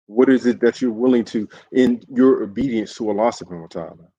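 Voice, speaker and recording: A man, an imam, giving a livestreamed sermon in an earnest, halting way, with many fillers and restarts. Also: mid-range voice, warm tone, slightly rough, dull audio. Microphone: solo livestream setup.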